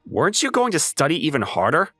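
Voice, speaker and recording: Man playing a wry high school boy, close and dry. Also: huge pitch swings, quick pace, a bit bright, no room sound, soft background music, exaggerated voice. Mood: dry, modest. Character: ordinary, level-headed high school boy, wryly modest narrator. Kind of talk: scripted first-person story narration and dub acting.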